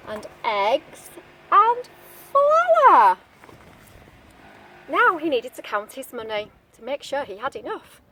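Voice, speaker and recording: A woman with a high, swooping voice reads a picture book to little children. She is expressive and keeps adding chatty asides and questions, like a teacher. There is faint noise behind her. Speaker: storybook reader, teacher-like. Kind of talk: reading a picture book aloud to young children.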